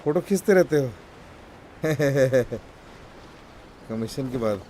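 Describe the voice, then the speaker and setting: A man's voice: mid-range voice, lively intonation, rapid-fire. A man with an Indian accent chatting casually as a game streamer, informal and mixing in English words. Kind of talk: casual live stream chat with viewers. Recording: clearly noisy stream audio with clicks.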